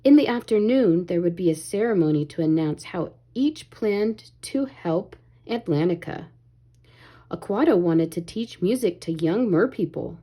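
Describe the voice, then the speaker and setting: A woman reading a children's story aloud in an expressive, animated way, as if to young listeners. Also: fairly low pitch, measured pace. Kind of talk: expressive read-aloud narration, pausing to ask children questions.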